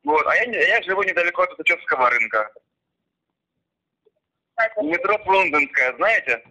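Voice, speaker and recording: A man's voice: on the high side, lively intonation, moderate pace, sharp tone. A man on a phone call, speaking formally and insistently as he presses for an answer. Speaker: phone scammer posing as a company worker. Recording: tinny phone line.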